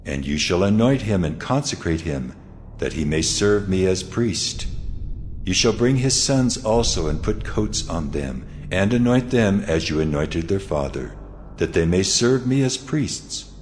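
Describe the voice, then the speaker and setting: A man playing the Lord God, a solemn deity giving measured, authoritative instructions in a dramatized scripture recording. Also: low voice, lively intonation, darkish tone, a little hiss or hum. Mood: solemn, commanding.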